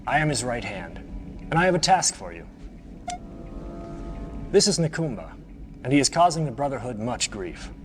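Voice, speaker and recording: A man's voice: medium pitch, big pitch swings, lightly acted. Man playing a smug, swooping villain lieutenant. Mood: smug, cold. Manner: deliberate, condescending, coolly threatening. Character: smug menacing male right-hand lieutenant of a cult leader. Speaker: villainous mission briefer. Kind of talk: video game cutscene acting.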